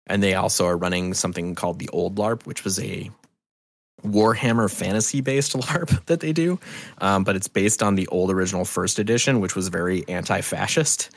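A man's voice: deep voice, normal speed, vocal fry, no room sound. A middle-aged man talking casually and candidly as a podcast guest, earnest and rambling, with restarts and loose swearing. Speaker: podcast guest, audio drama creator.

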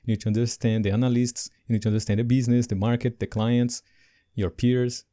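A man with English as a second language, co-hosting a podcast in casual chat, animated and laughing between points. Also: medium pitch, quick pace. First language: not English. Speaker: podcast co-host.

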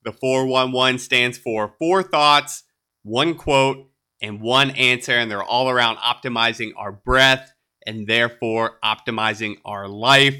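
A man's voice: medium pitch, unhurried, bright, forceful tone, smooth. A man hosting a solo podcast, sounding nasal and congested, upbeat and chatty with light laughs. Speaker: podcast host.

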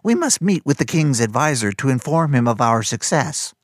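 A man's voice: mid-range voice, sing-song, moderate pace, dry recording, clean studio sound, pitched above the performer's own voice. A man voicing a cruel, scheming old male master who barks and sneers at his servant boy. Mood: harsh scornful. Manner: harsh, barking, contemptuous.

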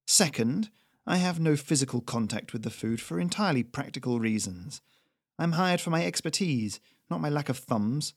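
A stern, self-respecting male rabbit chef from a storybook, clipped and icy in manner. A man voices him near his own pitch. It is close-miked and dry. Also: lively intonation, moderate pace, husky, no echo. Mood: cold, curt. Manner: curt, cold and dignified.

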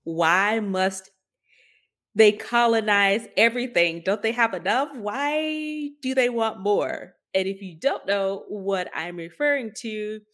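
A woman's voice: medium pitch, slow, fairly bright tone. A woman co-hosting a podcast, talking casually and sardonically with emphatic rhetorical questions. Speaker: podcast co-host. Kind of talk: casual opinion talk.

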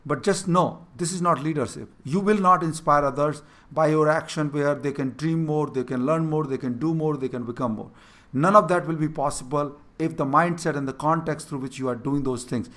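Indian guy coaching on leadership, kinda high voice, earnest and emphatic, hammers his points. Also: normal speed, a bit bright. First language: Hindi. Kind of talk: mentoring conversation.

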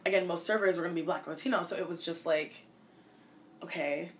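A woman's voice: on the low side, steady tone, slight background noise. A woman in her twenties from Minnesota, a college student answering interview questions casually, often restarting her sentences. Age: 20s. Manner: fast, with many restarts and filler likes.

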